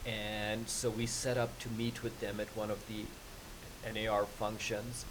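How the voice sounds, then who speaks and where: A podcast guest, a man whose first language is Persian, explains things at a measured pace with frequent ums. His voice sits a little low. A clear hiss runs under the recording. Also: monotone. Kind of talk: conversational interview.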